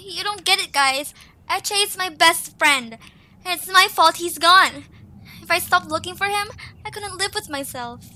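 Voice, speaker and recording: A woman's voice: extremely high pitch, measured pace, pushed and bright, full character voice. A woman voicing a stubborn, guilt-ridden young creature in an audio drama. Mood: sad, guilty. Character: stubborn, guilt-ridden young female Zoombini creature searching for her friend. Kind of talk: audio drama character acting.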